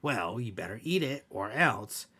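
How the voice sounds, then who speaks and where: A spiteful cowherd from a scary storybook, acted out by a man reading aloud. He speaks emphatically in a rough, forceful voice full of gravel. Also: fairly low pitch, very animated, a little slow, exaggerated voice. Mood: mocking.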